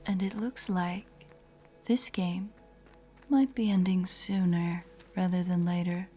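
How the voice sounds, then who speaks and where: A woman playing a predatory, teasing spider-woman monster in a horror audio roleplay, with a breathy, whispery voice and a taunting, menacing manner. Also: on the low side, fairly even intonation, warm tone, faint music behind. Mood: smug threat. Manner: slow, taunting, playfully sinister.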